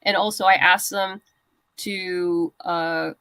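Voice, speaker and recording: A woman's voice: lowish voice, steady tone, takes it slowly, bright, forceful tone, smooth, dry recording. A woman speaking as a guest creator in a casual interview, giving practical advice in a conversational way. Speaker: guest writer and comic creator. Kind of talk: casual interview chat about crowdfunding.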